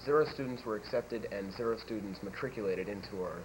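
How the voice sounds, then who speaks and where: A teenage boy playing a college admissions officer in a school TV sketch, answering everything in a flat, matter-of-fact way. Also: lowish voice, a little fast, lightly acted. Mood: deadpan. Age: teens. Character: deadpan absurd male college admissions officer. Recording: noisy 1980s school videotape.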